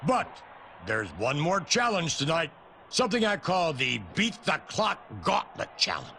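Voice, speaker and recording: Pompous, domineering wrestling chairman, theatrical and emphatic, raised pitch, noisy game recording. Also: very animated, unhurried, vocal fry, sharp tone, exaggerated voice. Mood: proud, formal. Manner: grandiose, theatrical announcing. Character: pompous, domineering male wrestling company boss. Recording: noisy game capture with background ambience.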